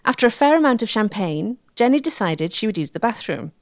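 A woman's voice: on the high side, very animated, fairly quick, no room sound, studio quality. A woman delivering scripted documentary narration as the narrator.

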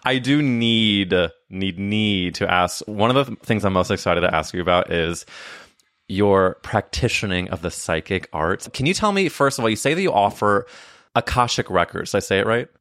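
Deep smooth-voiced young man hosting. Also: animated, fairly bright tone, dry recording, studio quality. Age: 20s. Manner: effusive, quick asides, repeats words. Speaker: podcast host. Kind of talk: casual interview chat.

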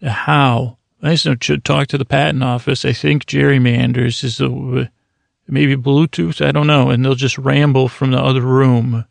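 A man's voice: mid-range voice, flat delivery, moderate pace, creaky, no room sound. A man hosting a sleep podcast, talking in a meandering, self-deprecating monologue full of restarts and asides. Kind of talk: rambling monologue for falling asleep.

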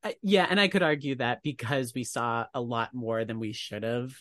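Guy cohost bantering, playfully emphatic, mid pitch, really dry sound. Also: moderate pace, fairly bright tone, no echo. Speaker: podcast co-host. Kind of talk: casual banter.

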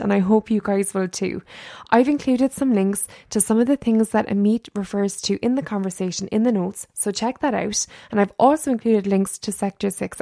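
A woman's voice: medium pitch, creaky, mellow, no echo, clean studio sound. A woman hosting a podcast, giving an upbeat, enthusiastic scripted introduction. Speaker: podcast host.

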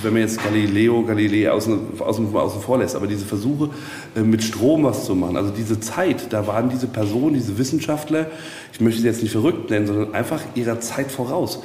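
Halting man in an echoey, reverberant space. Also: on the low side, mellow. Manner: halting, reflective, searching for words. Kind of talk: casual explanatory talk. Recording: echoey reverberant hall, faint noise.

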